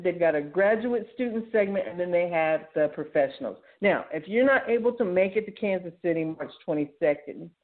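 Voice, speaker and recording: A woman hosting a show, talking informally and enthusiastically as she walks listeners through announcements in a conversational way. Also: mid-range voice. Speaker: radio show host. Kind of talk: informal solo talk, announcing articles and events. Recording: thin, phone-like narrow-band sound.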